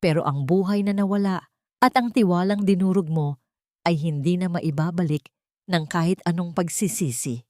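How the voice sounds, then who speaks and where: A woman voicing a wronged wife who speaks with calm, cold firmness in a dramatic story. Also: lowish voice, moderate pace, no echo, clean studio sound, lightly acted. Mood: cold, resolute. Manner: calm, cold, sharp-worded.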